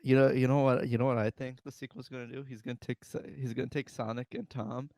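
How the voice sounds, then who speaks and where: Halting, casual man in a dry recording. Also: mid-range voice, level tone, darkish tone, dry recording. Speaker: podcast co-host. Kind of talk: casual chat.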